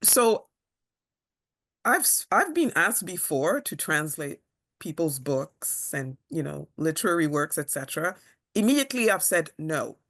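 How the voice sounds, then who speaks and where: A woman from Kenya, interviewing a guest over a video call, halting as she searches for words. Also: sits low, sing-song, a bit bright.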